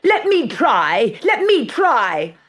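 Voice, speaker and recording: A woman voicing spoiled, jealous young stepsisters in a storybook, with petulant, drawn-out whining and wailing. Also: sits high, wildly swooping pitch, breathy edge, pitched above the performer's own voice, full character voice. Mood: shrieking, eager. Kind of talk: dramatised children's storybook reading.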